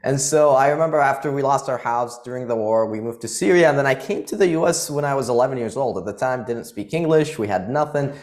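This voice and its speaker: A man's voice: medium pitch, fast, hardly any pauses. A man from Iraq, a guest on a podcast, telling his life story in an earnest, driven way. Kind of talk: casual interview talk.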